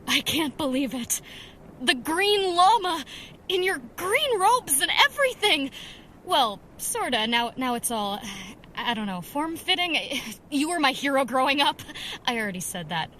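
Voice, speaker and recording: Young, gushing female would-be heroine, high and bright, nervously rambling. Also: sing-song, a little slow, a little hiss or hum, heavily acted. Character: eager, starstruck youthful female vigilante. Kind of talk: pulp radio drama acting.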